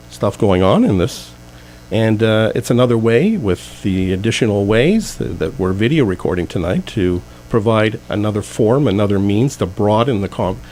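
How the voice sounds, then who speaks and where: A man's voice: fairly low pitch, a little mellow, a little hiss or hum, low-quality recording. A man hosting a radio talk show from a studio, reading out contact details in an announcer-like way before talking with guests.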